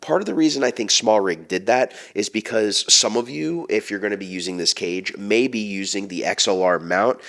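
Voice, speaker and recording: A man reviewing camera gear to the camera, his voice deeper and raspier while he recovers from the flu, explaining in a steady, informative way. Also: normal speed, hardly any pauses. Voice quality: deeper, raspier than usual. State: raspy from the flu.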